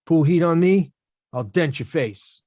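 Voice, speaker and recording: A man's voice: fairly high pitch, animated, measured pace, darkish tone, no echo. An actor in a table read playing a plainspoken working-class boxer, protective and streetwise in his slangy talk. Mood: angry, protective. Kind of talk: screenplay table read acting.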